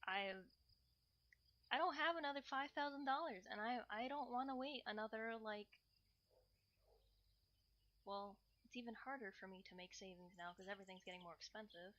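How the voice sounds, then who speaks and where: A woman's voice: fairly high pitch, normal speed, long pauses, fairly bright tone, lo-fi sound. A woman livestreamer chatting casually, playfully rambling and thinking aloud.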